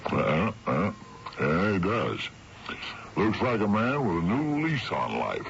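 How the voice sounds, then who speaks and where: A man's voice: fairly low pitch, big pitch swings, gravelly, a bit bright, lo-fi sound, exaggerated voice. An actor playing a hesitant, dazed elderly husband in a radio drama, stammering as he speaks. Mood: grateful, wondering. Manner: halting, stammering. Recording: band-limited noisy radio broadcast.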